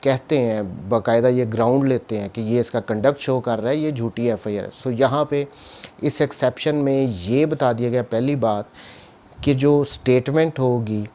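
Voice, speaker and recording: Mellow-voiced Pakistani man giving a lecture. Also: medium pitch, moderate pace. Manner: didactic, asks questions and answers them himself; mixes English legal terms into speech. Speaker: law lecturer, advocate. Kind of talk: explanatory lecture, reading statute aloud and explaining.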